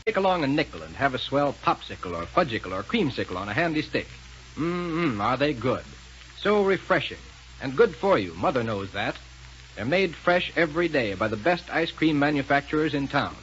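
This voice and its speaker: A man's voice: medium pitch, big pitch swings, moderate pace, a bit bright. A man announcing a 1930s radio show from a script, with folksy showmanship. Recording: muffled 1930s radio recording.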